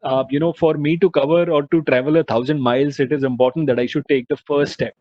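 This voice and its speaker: A man's voice: on the high side, fairly even intonation, fast, no room sound. Man presenting over a dull, dry line. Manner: earnest, explanatory, with frequent "you know" and "uh" fillers. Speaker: webinar presenter on mutual fund investing. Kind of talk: explanatory webinar presentation.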